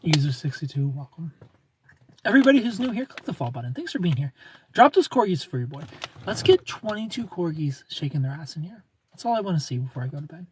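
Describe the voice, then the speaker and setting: A man's voice: on the high side, very animated, fast, a little mellow, a little hiss or hum. An American man hosting a live stream, casually reading and replying to comments in a joking, playful, informal way.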